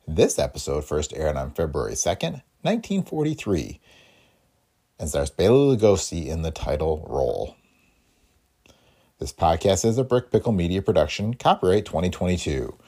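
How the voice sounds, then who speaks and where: A man's voice: low voice, sing-song, normal speed, warm tone, husky. A man reading a scripted podcast introduction as its host.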